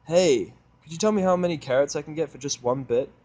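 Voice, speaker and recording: A man's voice: on the high side, quick pace, a little mellow, voice pushed up, lightly acted. Man playing a surly, sarcastic young grump. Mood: polite. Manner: curt, irritable, muttering. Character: surly, sarcastic human man working among ponies. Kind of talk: fan fiction audio drama acting.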